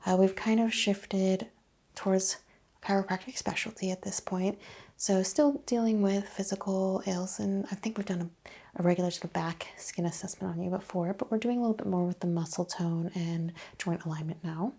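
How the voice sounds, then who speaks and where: An attentive female chiropractor, played by a woman in an ASMR roleplay, speaks softly and without hurry. The voice is mellow and husky with some fry, and the questioning is gentle and reassuring. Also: mid-range voice, fairly even intonation, close to an everyday voice. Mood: calm, attentive. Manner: hushed, unhurried, gently questioning. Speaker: ASMR performer playing a clinician. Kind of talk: ASMR medical roleplay, soft-spoken.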